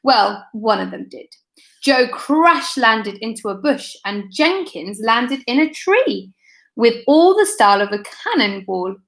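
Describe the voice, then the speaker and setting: A woman reading a children's book aloud for an online storytime, expressive and lifting her voice for the characters. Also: a little high, a little slow. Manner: expressive, lifts voice for character dialogue. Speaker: storytime reader.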